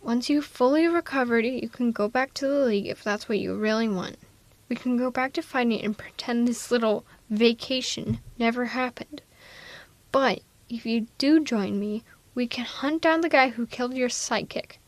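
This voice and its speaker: A woman's voice: a little high, moderate pace, dry recording, close to an everyday voice. A woman playing a sardonic but protective villainess in an intimate ASMR audio roleplay, coaxing one listener in a wry, persuasive way. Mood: persuasive, concerned.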